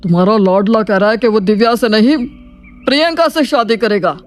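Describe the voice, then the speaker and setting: A woman's voice: a little high, brisk, faint music behind, natural voice. A woman voicing a stern, proud father in a cartoon family drama, speaking emphatically. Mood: shocked.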